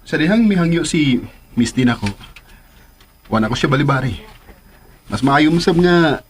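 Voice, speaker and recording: A man's voice: on the high side, lively intonation, normal speed, slight background noise, natural voice. An actor with a Filipino accent playing a smitten man scheming to win back an old love in a radio drama. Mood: earnest, courteous. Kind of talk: Philippine radio drama acting.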